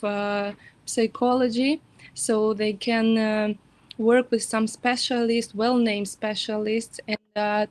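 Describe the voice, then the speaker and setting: A woman's voice: on the high side, dry recording. Hesitant woman presenting university programs. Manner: hesitant, many fillers and self-corrections. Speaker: university representative. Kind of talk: webinar presentation of study programs. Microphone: video call.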